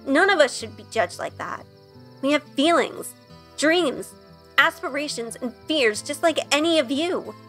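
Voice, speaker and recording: Audio drama lead: young good-girl witch, played by a woman, sincere, highish pitch, light music bed. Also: expressive, measured pace, a bit bright. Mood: earnest, pleading. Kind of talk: first-person audio drama narration and dialogue.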